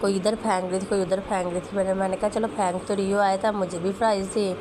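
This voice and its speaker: A young woman with a sore, hoarse throat, chatting fondly as a family vlogger about her little daughter, often clearing her throat. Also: mid-range voice, flat delivery, fast talker. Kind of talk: casual voice-over narration. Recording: voice with clear background noise.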